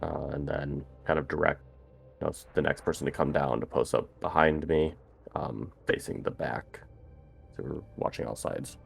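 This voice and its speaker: A man talks casually as a player at a tabletop game. His voice sits extremely low and has a creaky, gravelly edge. Faint music plays behind him. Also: fairly even intonation, moderate pace.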